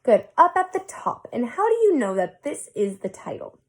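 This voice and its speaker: A woman reading a picture book aloud as a teacher, in a deliberate, expressive way meant for young children. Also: fairly high pitch, no room sound. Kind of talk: expressive storybook read-aloud with questions to children.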